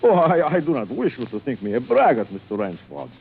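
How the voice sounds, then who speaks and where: An actor playing a suave, cruel aristocratic older general who hunts men, in urbane, theatrical 1940s radio thriller style with savored pauses. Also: male voice, medium pitch, huge pitch swings, slightly rough, exaggerated voice. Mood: coolly menacing. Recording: muffled, noisy vintage radio recording.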